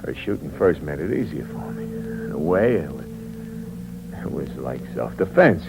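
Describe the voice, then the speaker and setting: A man's voice: rumbling low, big pitch swings, normal speed, gravelly, loud music behind the voice, full character voice. An actor playing a cold, hard-boiled con man who narrates in the first person in a 1950s radio drama, with tough, clipped slang and a menacing edge. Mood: narrating. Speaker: narrator and lead character. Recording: dull 1950s radio broadcast with hum.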